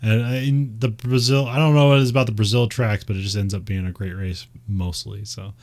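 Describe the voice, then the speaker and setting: A man's voice: lowish voice, fairly even intonation, a little fast, darkish tone. A man with a Midwestern American accent, chatting casually and rambling, with frequent laughs and fillers. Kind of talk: casual chat about racing.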